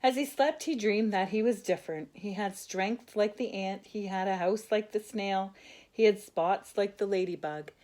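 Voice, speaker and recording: A woman's voice: medium pitch, normal speed. Older woman reading a children's story. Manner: gentle, expressive, with asides to a child listener. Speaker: grandmother storyteller. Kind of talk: reading a children's picture book aloud.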